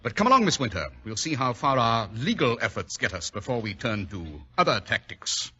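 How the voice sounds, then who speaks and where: A self-assured, courtly detective in an old radio drama. His voice is forceful and animated, with a husky edge and theatrical delivery. The recording is dull and lo-fi. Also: mid-range voice, brisk, full character voice. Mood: brisk, assured. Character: gentlemanly, confident, shrewd male detective. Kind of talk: 1940s radio acting.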